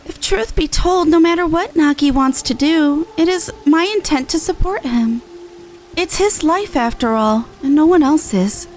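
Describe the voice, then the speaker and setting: A woman voicing a composed, formal older sister from a fantasy game, speaking in a measured, earnest way. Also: sits high, expressive, a little mellow, slight background noise, voice pushed up. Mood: earnest, concerned. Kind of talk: reading game dialogue aloud in character.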